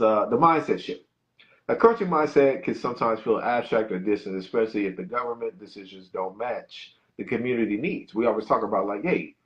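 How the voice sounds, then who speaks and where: A man's voice: mid-range voice, fairly bright tone, lo-fi sound. A man co-hosting a podcast, speaking in an emphatic, conversational way. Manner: conversational, emphatic, backchannels often. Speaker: podcast co-host. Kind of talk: casual podcast discussion.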